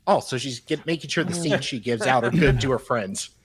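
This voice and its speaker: A man's voice: medium pitch, fairly quick. A man on a live stream panel, chatting casually and reading things aloud.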